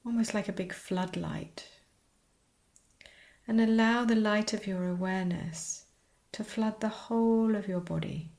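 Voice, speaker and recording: A woman teaching a guided meditation, speaking soothingly in short, unhurried phrases with pauses. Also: medium pitch, steady tone, a little mellow.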